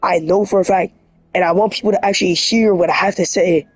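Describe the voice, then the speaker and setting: A man with a hoarse, scratchy voice, rambling earnestly and excitably as a livestreamer. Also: sits high, fast, faint music behind. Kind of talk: casual livestream monologue.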